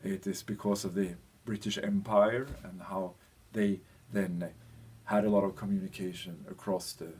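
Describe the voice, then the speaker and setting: A European man giving an informal explanatory lecture as a teacher, speaking in halting phrases with pauses and a husky voice. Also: low voice, fairly even intonation, darkish tone.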